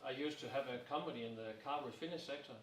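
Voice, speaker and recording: A man's voice: mid-range voice, rapid-fire. A man of fifty, a business consultant introducing himself in a jokey, easygoing way. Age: 50s. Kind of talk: casual self-introduction. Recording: echoey room, distant mic, crowd noise.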